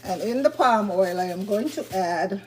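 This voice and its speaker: A woman with a slightly raspy voice talks through a recipe in her home kitchen. She is chatty and explains each step as she cooks. Frying food sizzles clearly behind her. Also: mid-range voice, lively intonation, moderate pace, background noise. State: slightly raspy from talking a lot. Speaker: vegan cooking channel host. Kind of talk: casual cooking demonstration. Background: frying and sizzling sounds.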